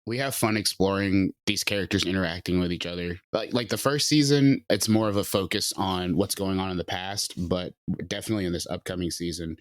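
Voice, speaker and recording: Low-voiced man, writer, laughing easily. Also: a little fast, no room sound. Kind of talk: casual interview.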